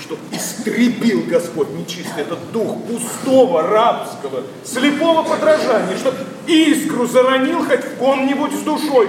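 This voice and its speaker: A disillusioned young aristocrat railing at society in theatrical verse. His voice is high and declamatory, edged with sarcasm. It is heard at a distance in a reverberant hall, through strong noise. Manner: declamatory, sarcastic, mimics people he mocks. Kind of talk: theatrical verse monologue, radio play. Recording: noisy, echoing theatre hall from afar.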